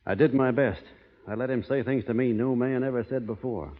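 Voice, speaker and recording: A man's voice: medium pitch, fairly quick, natural voice. An actor playing a stoic, honor-bound American cowboy foreman in a radio western, plain-spoken and restrained. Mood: grave, resolute. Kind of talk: 1940s radio western acting. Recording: muffled vintage radio broadcast, hall reverb.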